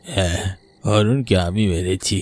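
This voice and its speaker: A man's voice: on the low side, a little slow, loud music behind the voice, natural voice. A man voicing the vengeful ghost of a murdered village teacher, menacing and bitter in a cartoon. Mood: bitter accusing. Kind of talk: cartoon voice acting.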